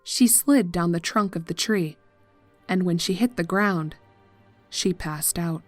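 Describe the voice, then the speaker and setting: A woman narrating a scripted horror story in a measured, dramatic manner. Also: on the low side, soft background music.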